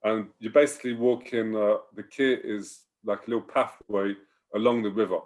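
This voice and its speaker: A university staff member, a man, chatting casually on a video call in a relaxed, rambling way that trails off softly. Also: on the low side, moderate pace. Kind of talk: casual panel chat.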